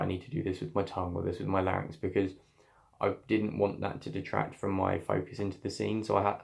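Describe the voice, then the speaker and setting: A man's voice: creaky, darkish tone. A young man, an acting student, reflecting on his voice work in a scene, talking thoughtfully with many restarts. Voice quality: slightly deep voice.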